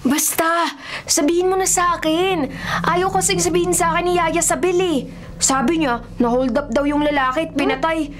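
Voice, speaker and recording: A woman's voice: very high-pitched, steady tone, moderate pace, slight background noise. A woman playing a frightened, insistent young boy in a radio horror drama, earnest and dramatic. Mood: insistent, eerie.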